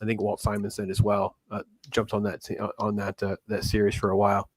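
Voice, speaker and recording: A man's voice: fairly low pitch, completely flat delivery, a little fast, no echo. A middle-aged man chatting casually as a host on a livestream about comics and collecting.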